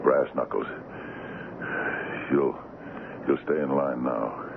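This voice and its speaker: An actor playing a tough, jealous, ailing ship's captain in a radio drama, speaking gruffly and haltingly. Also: male voice, steady tone, heavily acted. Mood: gruff, menacing. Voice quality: very deep, gravelly. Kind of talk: 1970s radio drama acting. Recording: noisy, tinny old radio broadcast.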